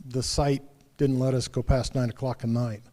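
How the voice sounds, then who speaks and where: Mellow-voiced man running a club meeting. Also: medium pitch, normal speed. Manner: relaxed, rambling with fillers and restarts. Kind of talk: casual announcements at a club meeting. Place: meeting room.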